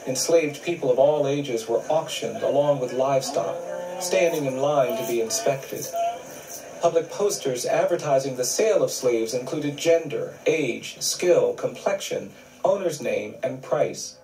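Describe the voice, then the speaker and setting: A man reading a scripted documentary narration in a grave, measured way. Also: medium pitch, crowd noise. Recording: roomy, distant video playback.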